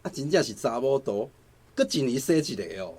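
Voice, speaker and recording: An actress playing a nosy village neighbour gossiping in Taiwanese Hokkien, exclamatory and teasing, in a radio drama. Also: female voice, a bass voice, expressive, fairly quick, a little mellow, husky, exaggerated voice. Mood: nosy, amused.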